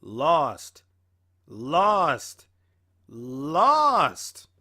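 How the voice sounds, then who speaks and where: A man giving casual reaction commentary in an animated, rambling, exclaiming way. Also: on the high side, extremely slow. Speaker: reaction video host.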